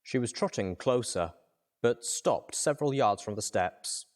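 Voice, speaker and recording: A man's voice: mid-range voice, lively intonation, a little slow. A man reading scripted first-person fiction as a dramatic audiobook narrator.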